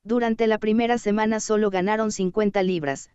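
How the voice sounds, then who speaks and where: Woman, audiobook narrator, steady reading, mid pitch, dry close recording. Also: fairly quick, clear, resonant tone, no room sound, muffled recording.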